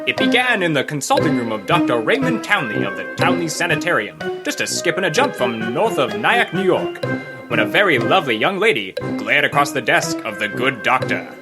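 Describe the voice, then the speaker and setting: A man playing an excitable old-time radio announcer, introducing a mystery in a grand, dramatic, declamatory style. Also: medium pitch, fairly quick, loud music behind the voice. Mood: grand, enthusiastic.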